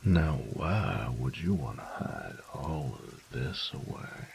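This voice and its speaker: A man playing a flirtatious, doting roommate and lover in an intimate ASMR roleplay, with a teasing, folksy drawl and breathy, whispery moments. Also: very animated, slow, a little hiss or hum, full character voice. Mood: teasing, tender. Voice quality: very deep, gravelly, breathy whispers.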